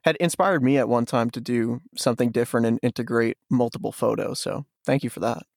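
A male podcast host chatting casually, asking questions and answering with quick relaxed agreement. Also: medium pitch, creaky, no echo, clean studio sound. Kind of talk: casual interview chat.

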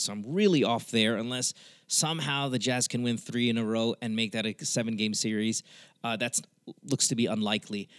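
A man's voice: medium pitch, fairly bright tone, dry recording, clean studio sound. A man hosting a podcast call-in show, chatting casually and bluntly with plenty of swearing. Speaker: podcast host taking calls. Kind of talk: casual, profane talk-show chat.

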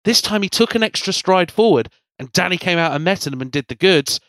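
A man with a fairly high voice, stuffed up with a cold, hosts a hockey podcast. He recaps play conversationally, rambling along with enthusiasm, in a very dry recording. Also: animated, brisk, a bit bright, dry recording. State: congested with a cold. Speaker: sports podcast host.

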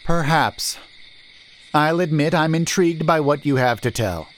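A man's voice: fairly high pitch, unhurried, pitched above the performer's own voice, natural voice. A male narrator voicing a suspicious, brusque merchant who demands answers on the road. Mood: skeptical. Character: suspicious gruff male merchant leading a wagon.

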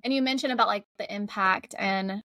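A woman from Georgia whose first language is Spanish, co-hosting a podcast in quick, lively chat. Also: mid-range voice, dry recording. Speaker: podcast co-host. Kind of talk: casual podcast conversation.

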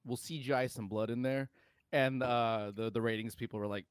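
A man's voice: mid-range voice, fairly even intonation, moderate pace, smooth, dry recording, studio quality. Informal man chatting as podcast co-host. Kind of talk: casual film review chat.

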